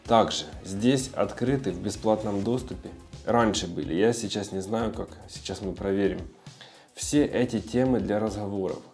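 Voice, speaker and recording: The laid-back, deep-voiced man you'd find on a video blog, informally explaining his tips with a little music underneath. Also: normal speed, darkish tone. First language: Russian. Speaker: video blogger sharing language-learning tips. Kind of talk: casual tutorial talk.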